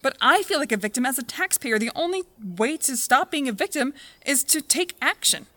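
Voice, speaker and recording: A woman's voice: high-pitched, expressive, a little fast, sharp tone. An actress playing a blunt, skeptical woman who argues as a taxpayer. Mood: defensive. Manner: argumentative, blunt. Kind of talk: audio drama acting.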